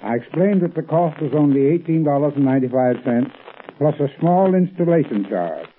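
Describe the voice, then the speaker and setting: An American man with a husky voice, delivering a scripted 1930s radio advertisement as a company expert. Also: on the high side, animated, brisk, a little hiss or hum. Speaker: company heating expert giving a sponsor talk. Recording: tinny 1930s radio recording.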